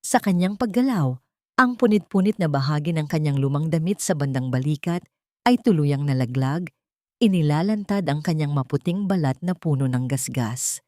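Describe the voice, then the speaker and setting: A woman's voice: deep voice, animated, normal speed, darkish tone, no echo, studio quality. A woman reading a scripted story as an audiobook narrator, in a dramatic storytelling style.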